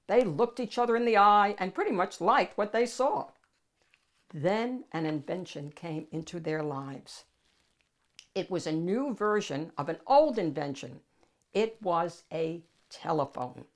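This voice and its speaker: A woman reading a picture book aloud as a storytime host, addressing young listeners with dramatic pauses and drawn-out key words. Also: on the low side, expressive.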